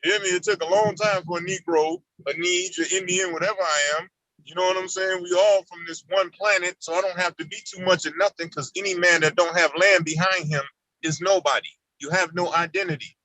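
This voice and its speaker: A man's voice: sits high, sharp tone, no room sound, poor audio. A man of about fifty on a discussion panel, speaking in a preachy way and often asking if listeners understand him. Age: around 50. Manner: preachy, repeats "you understand what I'm saying".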